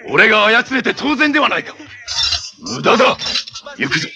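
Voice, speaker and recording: A man's voice: sits high, very animated, moderate pace, sharp tone, exaggerated voice. A man playing a haughty armored villain from a tokusatsu TV show, heir to an evil empire, making grandiose, commanding, theatrical declarations. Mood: gloating. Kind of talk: Japanese tokusatsu TV villain acting. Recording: clip from 1980s TV with music behind.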